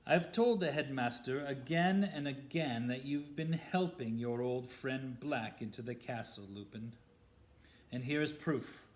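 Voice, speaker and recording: A man's voice: mid-range voice, unhurried, close to an everyday voice. A man voicing a cold, spiteful male wizard professor who talks in a silky, sneering way. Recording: roomy echo.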